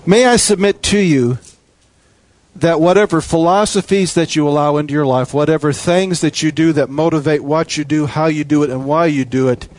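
A man's voice: a little high, normal speed, a little mellow, no echo. A man preaching a sermon with an emphatic, repetitive cadence.

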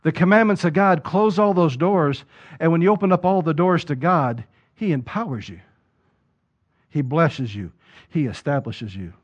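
Emphatic preacher, lo-fi sound. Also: male voice, a little high, expressive, normal speed. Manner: rises to loud emphatic preaching peaks, acts out quoted voices. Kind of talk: sermon. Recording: dull lo-fi sound.

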